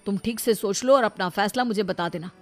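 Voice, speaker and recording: A woman's voice: medium pitch, brisk, faint music behind, lightly acted. Woman acting a callous, dismissive young husband. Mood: cold, dismissive. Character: callous young husband, doting on his new wife.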